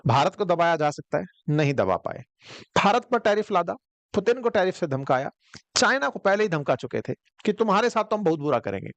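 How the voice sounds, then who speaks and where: A man's voice: high voice, very animated, a little fast, a bit bright, dry recording. A man giving conversational political commentary in a mocking, theatrical storytelling manner. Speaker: current affairs commentator.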